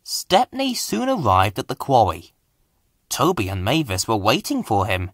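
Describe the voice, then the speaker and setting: A man's voice: mid-range voice, wildly swooping pitch, measured pace, a bit bright, no echo, clean studio sound. A man narrating a scripted children's story.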